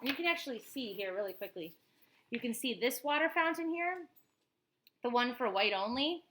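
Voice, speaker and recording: Bright, higher-pitched woman reading to children. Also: normal speed, a little hiss or hum, poor audio. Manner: expressive, explanatory, addresses children directly. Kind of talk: animated picture-book read-aloud with informal asides.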